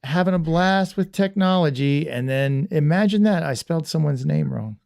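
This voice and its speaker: A man's voice: on the high side, normal speed, gravelly, a little mellow. A man hosting a casual livestream podcast, rambling and self-deprecating with lots of restarts. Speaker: podcast host.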